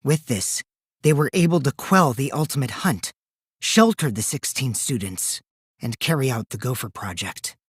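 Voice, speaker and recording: A very dry, close recording of a boyish, high-voiced teenage trickster from a video game. The delivery is theatrical and taunting, swinging widely between sing-song teasing and cold deceit. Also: male voice, lively intonation, moderate pace, husky, no echo. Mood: grave, explanatory. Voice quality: boyish, light. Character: mischievous, taunting teenage boy schemer, gleefully deceitful. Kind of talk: animated video game dub acting.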